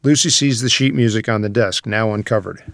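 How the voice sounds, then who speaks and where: A man's voice: on the low side, brisk, a bit bright, dry recording, studio quality. A man reading stage directions as scripted narration for a screenplay table read.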